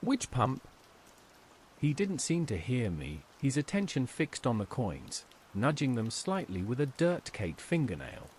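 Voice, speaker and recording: A man narrates a scripted horror tale in the first person. His pitch is ordinary for a man but moves with a lot of expression. Soft rain sounds behind the voice. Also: huge pitch swings, normal speed, few pauses, a little hiss or hum.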